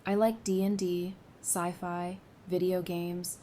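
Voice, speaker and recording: An actress playing a warm, teasing, geeky woman in a post-apocalyptic audio drama, speaking casually and playfully. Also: level tone, takes it slowly. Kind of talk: fan audio drama acting. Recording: noisy background with low rumble.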